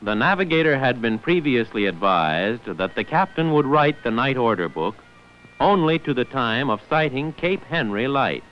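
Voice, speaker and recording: A man's voice: mid-range voice, sing-song, normal speed. A man narrating a scripted 1940s instructional film in a formal, precise manner, on an old muffled film soundtrack. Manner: formal, precise enunciation of figures.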